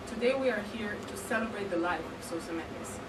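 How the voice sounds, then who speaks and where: Woman, foreign accent, formal speech at a ceremony, halting with fillers, distant mic, loud background noise. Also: medium pitch. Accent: non-native English, not Portuguese. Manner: halting, with frequent fillers and restarts. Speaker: foundation representative giving a speech.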